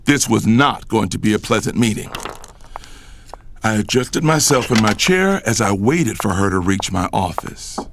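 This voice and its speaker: A man's voice: fairly low pitch, animated, normal speed, slight background noise. A man playing a vindictive, controlling businessman and boss, narrating an audio drama in first person with a stern manner. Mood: vindictive, brooding.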